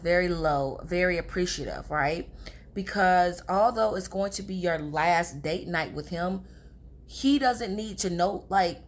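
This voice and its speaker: Young woman, raspy hoarse voice, lowish pitch, chatty confiding vlog talk. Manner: chatty, confiding, addresses viewers as girls. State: hoarse from talking all day. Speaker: relationship advice vlogger. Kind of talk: casual advice talk to camera.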